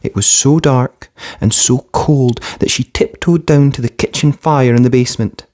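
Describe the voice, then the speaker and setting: A man presenting and narrating a children's fairytale in an expressive read-aloud style. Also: medium pitch, normal speed. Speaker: storybook narrator and channel presenter.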